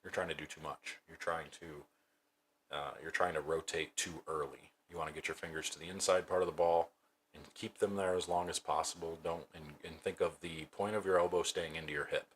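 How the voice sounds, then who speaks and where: A man with a low, deep voice hosts a casual livestream. He talks in a relaxed way, thinks aloud and restarts his sentences. A faint hiss sits under his voice. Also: a little fast. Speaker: streamer and bowling coach. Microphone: new desk microphone.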